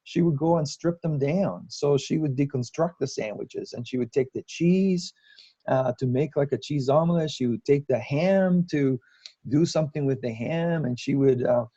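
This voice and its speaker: A man, telling a personal story in a reflective way with many pauses. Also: on the high side, warm tone, breathy edge, no echo, muffled recording. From Cuba. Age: 50s.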